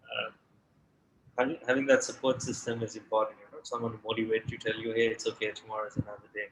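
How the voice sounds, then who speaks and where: Man, late 20s-30s, from Sri Lanka, low bright voice, webinar guest on an echoey call. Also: slight background noise. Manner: relaxed, many fillers and restarts. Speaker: restaurant founder and CEO. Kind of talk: webinar interview answers. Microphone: video call. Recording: echoey room with faint ambience.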